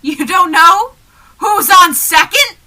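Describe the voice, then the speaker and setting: A woman's voice: very high voice, expressive, slow, sharp tone, exaggerated voice. A young woman in a student radio play, playing a confused, exasperated man in a comedy double act with insistent questions. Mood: exasperated, loud. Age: young adult. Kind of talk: comic double-act stage patter.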